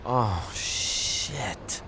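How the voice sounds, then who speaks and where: A man's voice: medium pitch, animated, very slow. An actor playing a nervous, cowardly young male mechanic in a video game, who stammers and jumps at everything. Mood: scared. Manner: stammering, jumpy. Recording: game audio with background noise.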